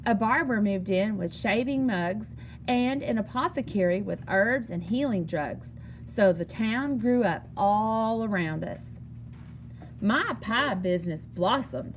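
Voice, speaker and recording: A woman reading a children's picture book aloud in an expressive, singsong storytelling manner. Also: mid-range voice, takes it slowly, a little hiss or hum, poor audio. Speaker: story reader.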